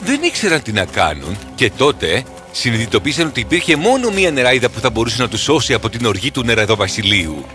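A man narrating a scripted fairy tale in a dramatic, expressive manner. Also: medium pitch. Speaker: storyteller. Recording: music under the voice.

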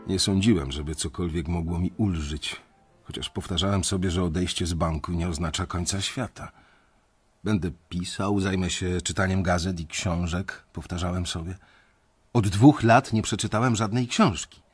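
An actor playing a weary young banker, narrating his story in a radio drama in a reflective, confiding way with a husky voice. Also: low-pitched, normal speed, faint music behind. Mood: reflective, resigned.

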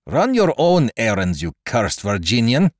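A man's voice: low-pitched, moderate pace, dry recording, clean studio sound, close to an everyday voice. A man voicing a surly, hot-tempered sailor in an audiobook sea adventure, growling and cursing. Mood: furious.

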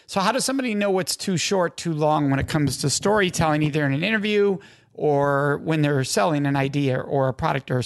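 A podcast host asks his guest follow-up questions out of curiosity. He is a man with a high voice and a gravelly, creaky edge to it. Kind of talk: interview questions.

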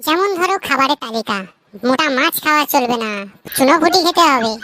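A performer voicing a cheeky young nephew in a cartoon comedy, shrill and childlike, glib and theatrically mock-earnest. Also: lively intonation, fairly quick, bright, forceful tone, no echo. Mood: mock-earnest advising. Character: cheeky young nephew teasing his old uncle.

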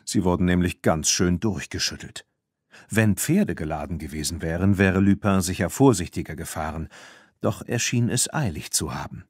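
Husky male children's audiobook narrator. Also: low voice, expressive, normal speed, dry recording, clean studio sound. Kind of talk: scripted children's story narration.

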